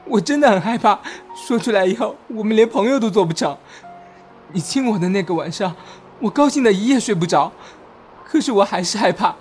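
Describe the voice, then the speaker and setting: A shy, lovestruck young man, played by a male actor in a romantic audio drama. He speaks softly and hesitantly, with earnest insecurity, over background music. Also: high-pitched, expressive, brisk. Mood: hurt, resigned. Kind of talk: Chinese audio drama acting with inner narration. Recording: music behind.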